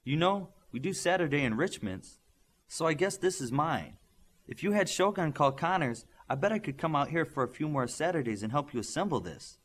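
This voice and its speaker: A man voicing a brisk female scientist, husky. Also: a little high, animated, pitched above the performer's own voice. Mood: grateful, thoughtful. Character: brisk, practical adult female scientist, quietly pleased.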